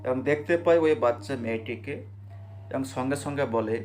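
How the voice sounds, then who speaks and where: A man's voice: mid-range voice, moderate pace, a little mellow. A man narrating a scripted cartoon ghost story in a storyteller's style. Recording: clear background noise and ambience.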